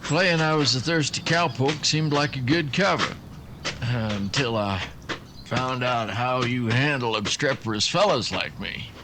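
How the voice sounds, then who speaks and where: An actor playing an easygoing undercover ranger in a western radio drama, talking in a genial, folksy cowboy way. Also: male voice, medium pitch, measured pace, noisy background, lightly acted. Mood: wry, genial.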